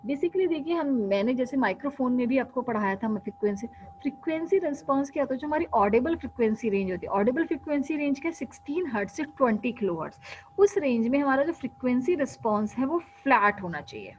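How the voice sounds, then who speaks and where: A woman lecturer with a fairly high voice walks students through technical terms step by step, asking a question and then answering it. The sound is dull and lo-fi, with a faint hum underneath. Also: animated, fast, darkish tone. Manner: explanatory, asks and answers her own questions, frequent "ठीक है" checks. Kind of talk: classroom lecture mixing Hindi and English.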